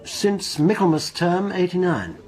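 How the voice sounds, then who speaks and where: An actor playing a polite, formal school headmaster who is a touch evasive. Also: male voice, high-pitched, very animated, measured pace, darkish tone. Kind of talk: radio drama acting.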